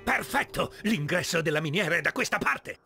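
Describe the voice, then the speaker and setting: Un attore che interpreta un fabbro nano della mitologia nordica, supplichevole, entusiasta e teatrale, in un filmato di videogioco. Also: male voice, on the high side, animated, brisk, creaky, husky, no room sound, studio quality, exaggerated voice. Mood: eager. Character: eager, pleading male dwarf smith of Norse myth. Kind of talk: video game cutscene acting. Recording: loud music under the voice.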